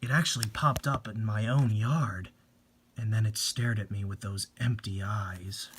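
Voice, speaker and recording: A man voicing a haunted, intense teenager who speaks gravely and insistently in a horror story. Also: lowish voice, steady tone, measured pace, slight background noise, pitched below the performer's own voice, lightly acted.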